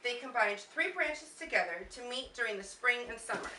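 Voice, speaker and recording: A woman's voice: on the high side, expressive, a little fast. Woman in a distant, echoey amateur skit. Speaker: puppet show performer at a family reunion. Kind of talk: amateur scripted skit performance. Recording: distant, echoey, noisy home video of live show.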